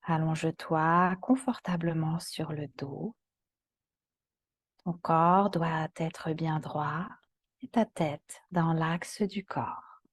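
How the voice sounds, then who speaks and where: A woman yoga teacher guiding a relaxation meditation in a calm, soothing, soft voice that often turns breathy. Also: low voice, no room sound. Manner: calm, soothing, gentle instructions with long pauses.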